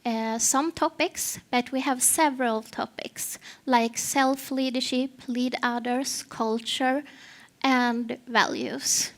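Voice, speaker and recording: A Swedish-accented woman presents at a conference in English, her second language. Her voice sits high and her delivery is slow, pausing often to search for words. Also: fairly even intonation. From Sweden. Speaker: conference speaker, HR partner.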